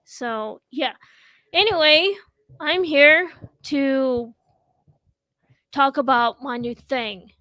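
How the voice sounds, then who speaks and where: A woman in her thirties from Russia, hosting a casual home chat show and talking in a slow, halting way with many pauses. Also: high-pitched, sharp tone, no echo. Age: 30s. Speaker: host.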